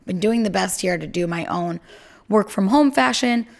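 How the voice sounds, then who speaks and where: A young woman co-hosting a podcast from home in casual chat, talking in a chatty, self-deprecating way with a low voice. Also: moderate pace, vocal fry. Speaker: podcast co-host.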